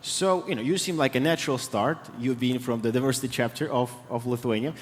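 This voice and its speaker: A man's voice: mid-range voice, brisk. A man moderating a panel on a hall stage through a headset mic, probing and rephrasing his questions. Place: large event hall stage. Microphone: headset microphone. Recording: reverberant hall sound.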